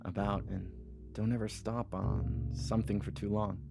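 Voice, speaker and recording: An intimate, unsettlingly devoted boyfriend in a horror audio drama. His low-leaning voice crackles with fry as he speaks slowly and tenderly over clearly audible guitar music. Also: flat delivery. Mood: tender, intent. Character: tender, unsettlingly watchful boyfriend in a ghost story. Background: plucked guitar underscore.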